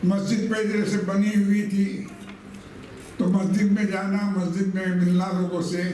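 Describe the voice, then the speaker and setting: Hoarse old man speaking in echoey hall. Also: sits high, little pitch movement, mellow, a little hiss or hum. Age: 80s. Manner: slow, measured, reflective. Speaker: trust founder giving a speech. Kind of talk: formal stage speech. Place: large event hall. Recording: echoey hall, far from the mic.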